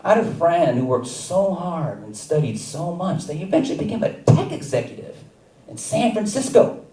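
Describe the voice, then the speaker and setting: A man performing a stand-up comedy set, with a wry, deadpan delivery and chuckles between jokes. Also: on the high side, moderate pace, far from the mic. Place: comedy theater stage. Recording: roomy live stage mic from afar.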